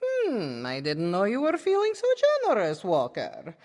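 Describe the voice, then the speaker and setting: A woman's voice: lowish voice, wildly swooping pitch, moderate pace, darkish tone, in a large hall. A woman playing a jaded, haughty, corruptible official in a video game, with a sardonic, dismissive manner. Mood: slyly agreeable. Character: jaded, haughty, bribable female official drinking.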